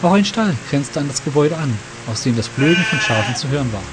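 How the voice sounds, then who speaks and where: A man's voice: on the high side, lively intonation, fast, music in the background, rough recording. A man narrating a scripted fantasy audio story in a descriptive storytelling manner.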